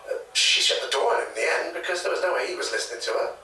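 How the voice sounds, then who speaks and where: A man with a British accent playing a hesitant, gossipy local retelling a ghost story in a halting, chatty way for an audio drama. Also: on the high side, animated, sharp tone, far from the mic, slight background noise. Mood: gossipy, guarded. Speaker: interviewee, former hotel worker. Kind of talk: naturalistic audio drama interview. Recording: echoey taped interview, off mic.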